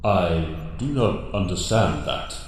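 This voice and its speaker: A man's voice: low voice, lively intonation, a little hiss or hum. A man playing a grave, wise alien mentor in an audio drama, speaking formally and solemnly. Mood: stern. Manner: formal, measured, solemn phrasing. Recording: cavernous echoing hall with long reverb.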